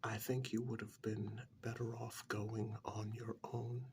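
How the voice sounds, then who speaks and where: A man playing a calm, frank therapist in a soft-spoken ASMR role-play, talking in a measured, halting, half-whispered way close to the microphone. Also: lowish voice, slight background noise. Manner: measured, halting, matter-of-fact, professional. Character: calm, frank male therapist counselling a troubled married man.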